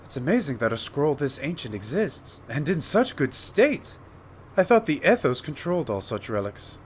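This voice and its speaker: Guy as polite bookish doctor, curious, very expressive pitch, fan radio play, faint noise behind. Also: moderate pace. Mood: curious, impressed. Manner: courteous, precise, formal wording. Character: polite, inquisitive scholarly male doctor. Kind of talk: fan audio drama acting.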